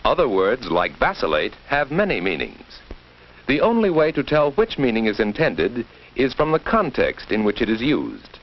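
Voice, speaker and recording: A man's voice: mid-range voice, big pitch swings, normal speed. Male American narrator, very wide melodic range, vintage classroom-film script, dull soundtrack. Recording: muffled 1940s film soundtrack.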